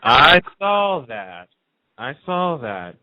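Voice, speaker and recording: A man's voice: mid-range voice, sing-song, very slow, pushed and bright, no echo. A man chatting casually on a radio panel, opinionated and emphatic, repeating himself to make a point. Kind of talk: casual chat about TV soaps. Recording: muffled lo-fi phone-line radio sound.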